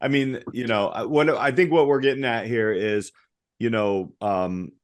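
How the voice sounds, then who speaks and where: A man's voice: medium pitch, unhurried. A man co-hosting a podcast, asking questions in a friendly, teasing, casual way. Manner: friendly, teasing, calls the guest dude. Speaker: podcast co-host. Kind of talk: casual interview talk.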